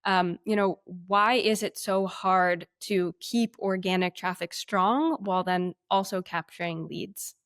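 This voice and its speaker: A woman's voice: lowish voice, measured pace, few pauses, fairly bright tone, smooth, dry recording. Woman hosting a webinar, dry close sound. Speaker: webinar host. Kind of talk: conversational moderating.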